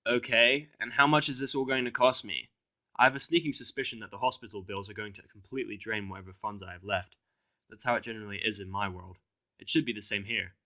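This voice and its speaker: A man's voice: lowish voice, steady tone, quick pace, pushed and bright. A man voicing a surly, cynical human character with a blunt, sarcastic, grumbling manner in a story. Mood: grudging, sarcastic. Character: surly, foul-mouthed, cynical human in pony world.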